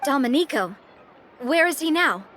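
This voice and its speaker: Bright, confident young group leader. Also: female voice, high voice, animated, a little hiss or hum. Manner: brisk, assured, friendly. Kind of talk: video game voice acting.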